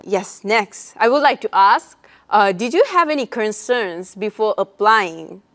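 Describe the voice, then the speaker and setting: Young female student host, mid-pitched, halting delivery full of uhs. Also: animated, a bit bright. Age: young adult. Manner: hesitant, with many fillers and restarts. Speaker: interviewer. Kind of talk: interview questions and own answers.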